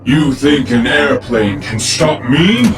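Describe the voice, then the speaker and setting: Guy acting pompous old professor villain, theatrical, echoey and far off under loud music. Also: medium pitch, expressive, slow pace. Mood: indignant. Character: grandiose older male former professor, godlike cosmic villain. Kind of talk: dramatic monologue in audio drama. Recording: roomy, distant echo under loud music.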